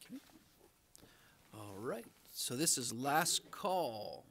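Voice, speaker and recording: Gravelly man chairing a council meeting. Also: medium pitch, very animated, a bit bright. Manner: brisk, procedural. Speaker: presiding official chairing the meeting.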